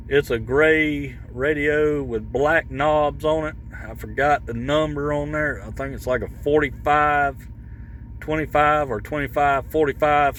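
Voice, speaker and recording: Male vlogger, unhurried and halting, casual off-the-cuff product chat with some vehicle noise behind him. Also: mid-range voice, a bit bright. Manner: halting, rambling, searching for words. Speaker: vlogger showing his CB radio. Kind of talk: casual unscripted product talk.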